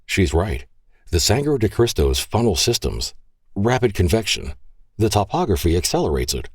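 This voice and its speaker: A man's voice: lowish voice, very animated, normal speed, husky, no echo, clean studio sound, pitched above the performer's own voice. A man voicing an earnest, slightly shy male scientist who explains things in short, technical phrases.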